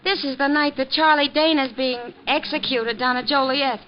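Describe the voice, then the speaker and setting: A woman's voice: high-pitched, steady tone, normal speed, close to an everyday voice. A woman playing a blunt, guarded young barroom regular with a slangy, world-weary manner in a 1950s radio mystery. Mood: indignant. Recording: dull, noisy vintage radio recording.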